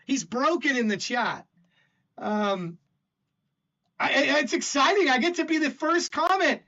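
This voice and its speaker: An older man hosts a livestream and talks casually to his chat. His voice is loud, bright and forceful, and he stammers as he rambles. The recording sounds dull and lo-fi. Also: very high voice, unhurried. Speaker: stream host.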